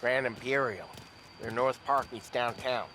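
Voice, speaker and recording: A man's voice: medium pitch, measured pace, bright, forceful tone, slight background noise, rough recording. An actor playing a restless, weary American mobster in his late thirties, talking in streetwise slang in a crime game. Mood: determined. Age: late 30s. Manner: streetwise slangy gangster talk. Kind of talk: cinematic crime game acting.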